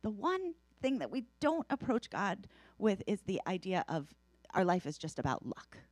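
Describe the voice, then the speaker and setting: A woman's voice: medium pitch, sing-song, moderate pace, dry recording. A woman taking part in a study-class debate, pressing her points insistently and building them in lists. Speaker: class discussion participant.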